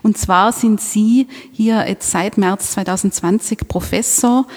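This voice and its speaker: A woman's voice: medium pitch, measured pace, darkish tone, big-room sound. Woman hosting a podcast. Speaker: podcast host. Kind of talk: interview hosting.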